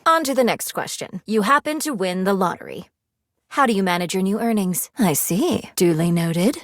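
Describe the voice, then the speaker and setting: A woman's voice: medium pitch, lively intonation, moderate pace, no room sound, studio quality, close to an everyday voice. A woman voicing a prim, anxious, money-minded video game character who speaks in formal, precise, businesslike phrases. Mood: formal, reserved. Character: prim, anxious, money-minded female personified savings living in a safe.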